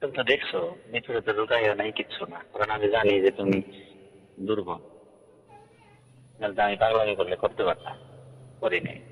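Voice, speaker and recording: A man's voice: on the low side, big pitch swings, a little fast, gravelly, pauses often. A man with a Bangladeshi Bengali accent talking privately in a recorded phone conversation with long reverb. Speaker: teacher.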